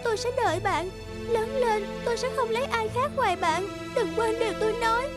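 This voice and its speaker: An extremely high, girlish cartoon voice for a devoted young fairy-tale girl. She pleads earnestly over clearly audible music. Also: fairly quick. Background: background music.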